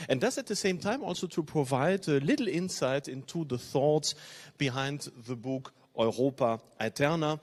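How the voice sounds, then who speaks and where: A man from Belgium whose first languages are German and French, giving a measured, formal opening address as panel chair. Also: medium pitch. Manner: measured, formal, reads from a text. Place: conference hall.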